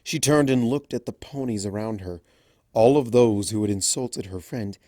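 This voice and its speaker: A narrator reading a story aloud in an expressive, scripted storytelling style. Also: mid-range voice, moderate pace, dry recording, clean studio sound.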